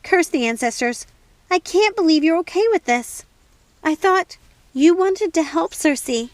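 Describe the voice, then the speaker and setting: Storybook young heroine, played by a female reader: high, urgent, distraught, defiant, recorded dry and close. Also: lively intonation, a little slow, no echo. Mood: indignant. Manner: urgent, impassioned pleading.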